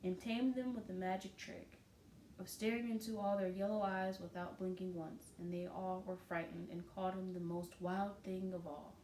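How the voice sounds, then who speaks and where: Female storybook reader, expressive, chatty asides to kids; echoey room, slight noise. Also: on the low side. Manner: expressive, pausing on pictures with informal comments. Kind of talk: read-aloud storytelling with casual asides to children. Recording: roomy echo, light background noise.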